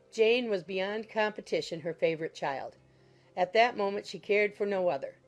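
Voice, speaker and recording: A woman reading scripted narration of a classic novel as an audiobook narrator. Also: medium pitch. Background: music.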